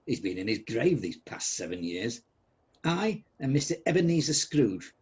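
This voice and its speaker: An actor playing a miserly, bad-tempered old businessman in a comic radio drama, husky, sarcastic and blustering. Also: mid-range voice, big pitch swings, normal speed, darkish tone.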